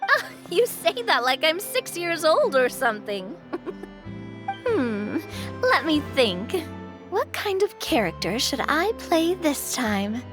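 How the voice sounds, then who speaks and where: Bubbly, high-voiced eager young woman. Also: exaggerated voice. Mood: teasing, excited. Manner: excitable, playful, drawn-out emphatic delivery. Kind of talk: video game voice acting. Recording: music bed behind the voice.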